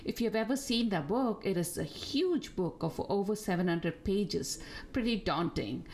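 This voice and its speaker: A woman's voice: medium pitch, lively intonation, a little mellow, a little hiss or hum. Reflective woman, Tamil native speaker. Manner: thoughtful, with frequent "uh" pauses. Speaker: podcast co-host. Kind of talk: casual podcast chat.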